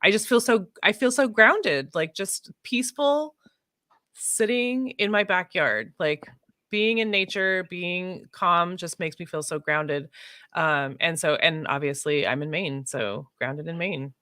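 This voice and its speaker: A woman's voice: lowish voice, measured pace, bright, forceful tone, no echo, clean studio sound. An American woman chatting casually as a podcast guest, informal and enthusiastic in manner. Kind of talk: casual chat.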